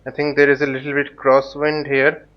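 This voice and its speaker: A man hosting a flight simulator tutorial, thinking aloud in short, halting phrases as he casually walks through what he is doing. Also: medium pitch, completely flat delivery. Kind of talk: casual spoken walkthrough while flying a simulator. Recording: dull, lo-fi microphone sound.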